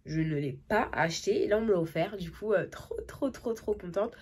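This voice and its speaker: A young woman with a cold talks through her makeup tutorial in a husky, breathy voice. She chats eagerly and casually, full of slang and half-finished sentences, with her voice sitting around the middle of a woman's range. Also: medium pitch, moderate pace. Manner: chatty, excited, slangy, trails off often. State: has a cold. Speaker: makeup vlogger.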